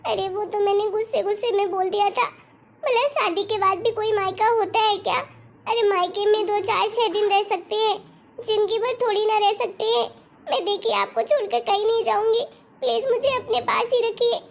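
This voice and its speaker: A woman's voice: extremely high pitch, fairly even intonation, moderate pace, vocal fry. A woman voicing a dramatic, insistent young wife in an amateur cartoon story, with a whiny manner. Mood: pleading.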